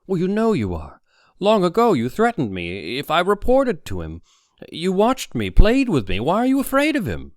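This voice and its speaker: A man voicing a frightened, hunted man in an audiobook story, agitated, halting and breathless. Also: high-pitched, huge pitch swings, dry recording, studio quality, pitched above the performer's own voice. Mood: agitated accusing.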